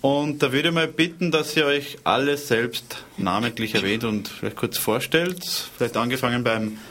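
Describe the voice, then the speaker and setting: A man hosting a community radio talk show, relaxed and chatty with light hesitations. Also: mid-range voice, normal speed. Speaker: radio host. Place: radio studio.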